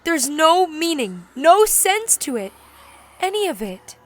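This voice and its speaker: A woman plays a vulnerable, despairing teenage girl in an audio drama. Her high, bright voice moves slowly and haltingly, plaintive and hurt, with music behind it. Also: sing-song, heavily acted.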